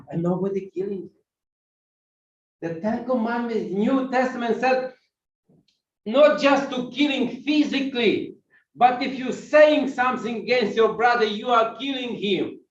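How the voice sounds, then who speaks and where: A man from Ukraine with a Ukrainian accent, preaching a sermon and putting questions to the congregation. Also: extremely high pitch. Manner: walks while preaching, asks the congregation questions. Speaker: guest pastor. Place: church hall. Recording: echoey church from far off, dull sound.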